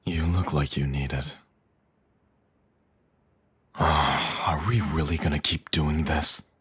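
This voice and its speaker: A man's voice: a bass voice, fairly even intonation, slow pace, fairly bright tone, slightly rough, dry recording, heavily acted. A man playing a cocky villain in an intimate audio roleplay, with a teasing, smug, flirtatious drawl.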